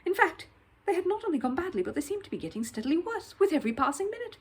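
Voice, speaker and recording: A woman narrating a children's story in an expressive, scripted read-aloud style. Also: very high-pitched, rapid-fire.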